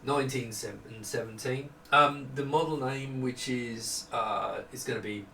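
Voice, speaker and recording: A man in his fifties giving a casual, unscripted product walkthrough, explaining haltingly as he thinks aloud. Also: medium pitch, steady tone, gravelly, pushed and bright. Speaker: maker presenting a product prototype. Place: small home office room. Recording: roomy, distant mic, faint noise.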